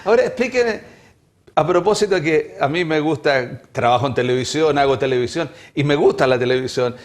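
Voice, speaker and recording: Man, TV interviewer, rambling questions, slightly high voice. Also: fairly quick.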